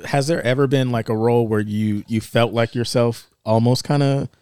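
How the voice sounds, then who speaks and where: A man co-hosting a podcast, asking easygoing questions and trailing off softly. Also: medium pitch, steady tone, moderate pace, clean tone, no echo, studio quality. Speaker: podcast co-host. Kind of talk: casual podcast chat.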